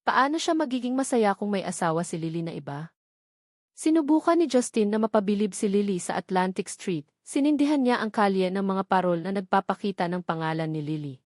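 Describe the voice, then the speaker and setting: A woman's voice: mid-range voice, lively intonation, fairly quick, no room sound, studio quality. A woman with a Filipino accent reading scripted novel narration as an audiobook narrator.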